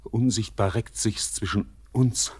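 A haunted young miner in a classic radio play. He is torn and feverish, and he speaks in hushed, anguished tones. His voice is husky and fairly low. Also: steady tone, slow. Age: young adult. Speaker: Elis, the miner.

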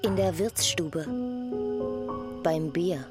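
A woman playing a measured storyteller in an audio drama, speaking in short scripted fragments. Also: low-pitched. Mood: measured, observing. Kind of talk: slow, fragmentary scripted narration. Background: music.

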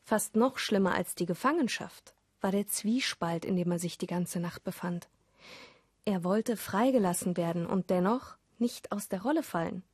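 A woman's voice: medium pitch, normal speed, breathy edge, no echo, clean studio sound. A woman reading scripted storytelling narration for an audio play. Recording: loud music under the voice. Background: loud music.

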